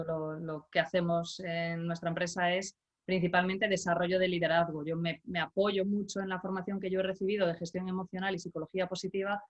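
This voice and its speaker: A middle-aged woman from Galicia, a leadership coach, answering interview questions over a video call in a measured, explanatory way with small restarts. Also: lowish voice, lo-fi sound. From Galicia, Spain.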